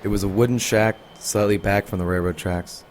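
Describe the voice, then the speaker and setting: An actor playing an earnest, cautious young police detective, giving measured first-person narration in an audio drama. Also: male voice, fairly low pitch, fairly even intonation, slight background noise, lightly acted. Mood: cautious, tense.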